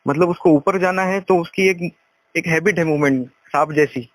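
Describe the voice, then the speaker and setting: A man's voice: on the high side, moderate pace, no room sound. A man coaching informally over a phone call, emphatic and instructive, repeating key points. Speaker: trading coach.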